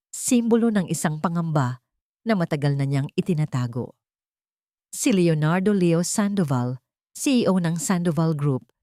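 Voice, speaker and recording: A woman's voice: deep voice, warm tone, no echo, studio quality. A woman with a Filipino accent reading a scripted, dramatic romance story as narrator.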